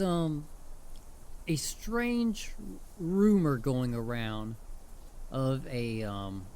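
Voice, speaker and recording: Man acting as chatty severed head, wildly swooping pitch. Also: slight background noise. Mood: hesitant, uneasy. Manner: casual, rambling, hesitant with stammered repeats. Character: chatty, mischievous talking severed head of a male dullahan. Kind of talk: audio roleplay addressed to the listener. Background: ambient forest sound effects.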